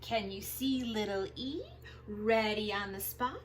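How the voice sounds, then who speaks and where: A woman reading aloud to young children as a storytime host, expressive and sing-song, drawing out the sound words. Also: mid-range voice, measured pace, noisy background. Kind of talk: animated picture-book read-aloud for young children.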